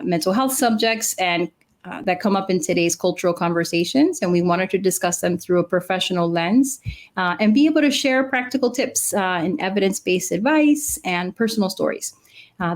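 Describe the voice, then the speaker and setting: A woman in her forties co-hosting a chat show, explaining with lots of "uh" fillers. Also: medium pitch. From Cuban and Dominican background. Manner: many "uh" fillers, earnest explaining. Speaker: podcast co-host, clinical psychologist. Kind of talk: casual chat.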